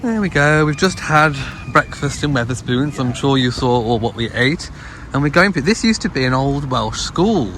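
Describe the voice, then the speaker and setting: A man's voice: medium pitch, animated, moderate pace. A man hosting a casual vlog, chatting to viewers and narrating what is around him. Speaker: vlog host. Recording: noisy handheld vlog with crowd and street sounds.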